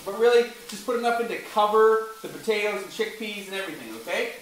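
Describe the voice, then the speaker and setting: A man with a fairly high voice talks through a recipe in a home kitchen, chatty and relaxed, turning now and then to his little son. The sound is very echoey, bouncing off the hard kitchen walls, with a faint hiss underneath. He is picked up by a camera some distance from him, not a close mic. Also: fast talker. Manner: chatty, explaining steps while talking to his toddler son. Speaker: home cooking show host. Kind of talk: casual cooking demonstration.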